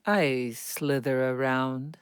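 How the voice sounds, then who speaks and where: A woman playing a wary, brooding snake in an audio drama, speaking in a deliberate, ominous manner. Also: rumbling low, steady tone, clean tone, no room sound, clean studio sound.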